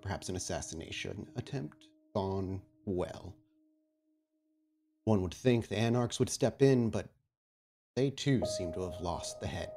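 A man voicing a patient, menacing vampire prince in a tabletop game, speaking slowly and with measured authority. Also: deep voice. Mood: calm menace. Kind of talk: in-character tabletop roleplay speech. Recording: music behind the voice.

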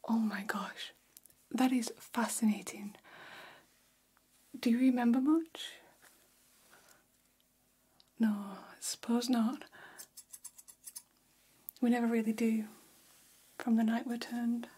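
A woman plays a friendly female vampire hairdresser in an ASMR salon roleplay. The voice is a very breathy, soft whisper with a mellow tone. It moves slowly and attentively, like intimate salon gossip. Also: on the high side, level tone. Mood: intrigued. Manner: soft, unhurried, chatty, attentive. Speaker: ASMR performer. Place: small decorated indoor room. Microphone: close microphone.

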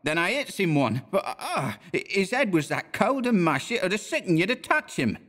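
A man's voice: high-pitched, big pitch swings, moderate pace, fairly bright tone, voice pushed up, exaggerated voice. A man voicing a young Cockney bellboy who talks deferentially, adding sir to every line. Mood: eager. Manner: deferential, keeps saying sir.

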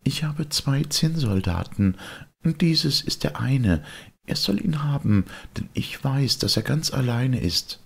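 A kind-hearted little boy from a storybook, acted out by a man. The voice is lifted high and has a breathy rasp. He speaks with gentle, eager earnestness. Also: lively intonation, a little slow, heavily acted. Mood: earnest, eager.

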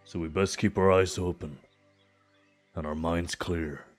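A man playing a desperate, grieving father who pleads humbly and haltingly in a fantasy audio drama. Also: deep voice, fairly even intonation, faint music behind. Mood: wary, hushed. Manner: slow, halting, earnest pleading.

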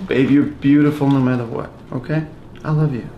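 A man's voice: mid-range voice, steady tone, brisk, dull audio, close to an everyday voice. A young man playing a casual, obliging boyfriend in a comedy sketch. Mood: coaxing. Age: young adult. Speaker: comedy skit actor. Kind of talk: acted casual dialogue. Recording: echoey room with clear background noise.

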